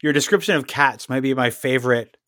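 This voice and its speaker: A podcast host asking questions and reacting casually, with many halting restarts. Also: medium pitch, a bit bright, dry recording, studio quality. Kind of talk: casual interview conversation.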